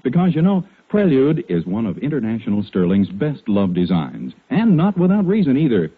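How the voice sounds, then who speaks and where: Husky 1940s radio announcer man. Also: medium pitch, wildly swooping pitch, moderate pace. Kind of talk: 1940s radio advertising pitch. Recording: tinny, lo-fi 1940s radio recording.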